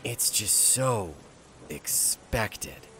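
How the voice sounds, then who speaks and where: A man's voice: fairly low pitch, expressive, very slow, pushed and bright, slight background noise, full character voice. A man playing a sardonic, seasoned space skipper in a sci-fi audio drama, with a taunting, stubborn manner. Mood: mocking, defiant.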